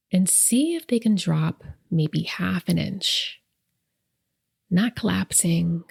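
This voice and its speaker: A woman leading a guided meditation as host, speaking softly and gently with deliberate pauses, her breathy voice trailing into whispers. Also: lowish voice. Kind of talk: soft, soothing guided meditation narration.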